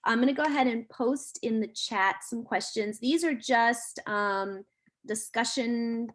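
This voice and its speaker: A woman's voice: on the high side, measured pace, a little hiss or hum. A woman moderating an online panel over a video call, giving organized, practical announcements. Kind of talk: event moderation.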